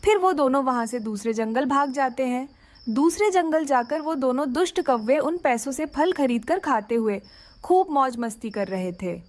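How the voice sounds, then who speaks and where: A woman's voice: high-pitched, expressive, normal speed. A woman narrating a scripted children's cartoon story.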